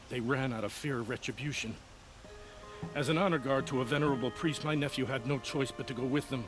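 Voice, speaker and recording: A man's voice: medium pitch, lightly acted, low-quality recording. An actor playing an anxious, proud nobleman in a video game, speaking in a formal, dramatic, earnest way. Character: anxious, proud nobleman pleading over family honor. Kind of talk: video game character acting.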